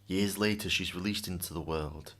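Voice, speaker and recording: A man's voice: deep voice, moderate pace, sharp tone. A man giving dramatic, scripted story narration in a solemn, ominous manner.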